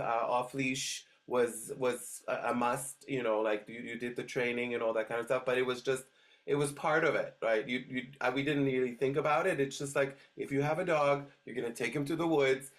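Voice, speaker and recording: A middle-aged man from Switzerland, a dog trainer guest telling stories in a casual interview, hesitant and full of restarts. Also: mid-range voice. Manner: hesitant, many restarts and fillers.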